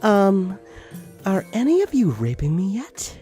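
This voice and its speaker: Man voicing a gentle, hesitant motherly goat woman. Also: sits high, huge pitch swings, unhurried, soft background music, pitched above the performer's own voice, heavily acted. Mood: confused. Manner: pleading, hesitant.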